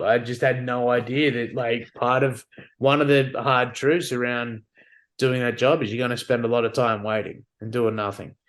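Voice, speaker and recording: Brief: Australian male veteran, 30s, candid podcast guest, easygoing storyteller. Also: mid-range voice. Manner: relaxed, candid, acts out quotes. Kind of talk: casual storytelling chat.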